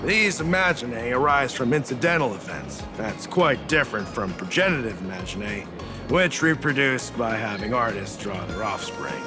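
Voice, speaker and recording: A man's voice: medium pitch, lively intonation, normal speed, natural voice. An actor voicing a chatty, pedantic local man in a video game, explaining things hesitantly. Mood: explanatory, easygoing. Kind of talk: video game voice acting, exposition. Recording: game music underneath.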